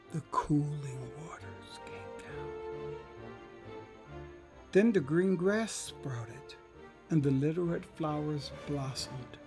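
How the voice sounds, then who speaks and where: A man recites a poem in a grand, theatrical style. His delivery is slow and reverent, with a very animated pitch that swings between hushed softness and booming proclamation. Music plays underneath his voice. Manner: solemn, reverent, swelling from hushed whispers to booming declamation. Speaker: poet performing a dramatized poem. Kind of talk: theatrical dramatic poetry recitation.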